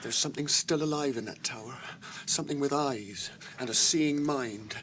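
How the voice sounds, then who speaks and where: An actor playing a loyal, practical, grumbling hobbit servant in a radio drama, homely, plain-spoken and anxious. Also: male voice, medium pitch, normal speed, a bit bright, slight background noise, close to an everyday voice. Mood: urgent, coaxing.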